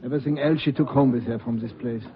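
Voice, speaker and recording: Actor as a kindly, emphatic little restaurant owner. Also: male voice, medium pitch, fairly even intonation, fast talker, natural voice. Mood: fond. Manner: emphatic, repetitive, sentimental. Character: kindly, talkative immigrant restaurant owner, small man. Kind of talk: 1950s radio drama acting. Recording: dull old radio recording.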